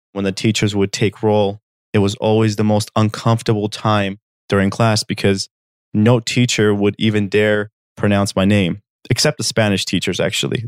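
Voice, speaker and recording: Earnest podcast guy from armenia, low smooth voice, dry close mic, keeps asking "okay?". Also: dry recording. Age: 30s. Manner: earnest, direct, addresses listeners with "okay?". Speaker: podcast host. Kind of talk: personal storytelling.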